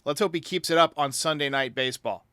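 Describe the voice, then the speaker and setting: A man's voice: fairly high pitch, fast talker, bright, forceful tone, clear, resonant tone, dry recording. A man hosting a sports podcast, giving commentary in reply to fans. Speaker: podcast host. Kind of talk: sports commentary answering fan voicemails.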